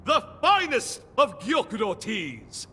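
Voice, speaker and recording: A man's voice: sits high, wildly swooping pitch, slow pace, bright, forceful tone, husky, heavily acted. An actor playing a flamboyant, centuries-old nobleman in a video game, with a theatrical, courtly and pompous delivery. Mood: courtly, delighted. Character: flamboyant, noble, centuries-old immortal man, grandiose and devoted. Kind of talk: video game character acting.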